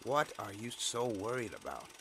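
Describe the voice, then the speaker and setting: A man's voice: on the low side, very animated, normal speed, a bit bright, a little hiss or hum. A man playing an impatient, dismissive senior government official in a scripted audio drama, with a curt, pompous manner. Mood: exasperated.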